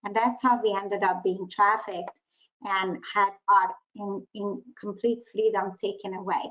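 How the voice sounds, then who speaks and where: A woman's voice: medium pitch, steady tone, a little slow, a bit bright. A woman hosting a webinar over a video call, politely introducing guests and thanking them. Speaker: webinar host. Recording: dull lo-fi video call audio.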